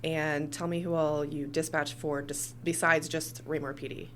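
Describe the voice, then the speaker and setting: A woman's voice: low voice, level tone, normal speed, a little hiss or hum. A woman hosting a conversational podcast interview, asking questions as a communications director.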